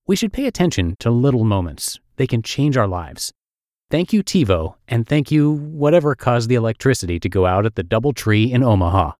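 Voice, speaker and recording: A man's voice: medium pitch, sing-song, fairly quick, a little mellow, dry recording, studio quality. Wry Russian-accented man reading an essay. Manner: wry, self-deprecating storytelling. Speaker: writer reading his own post. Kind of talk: read-aloud essay narration.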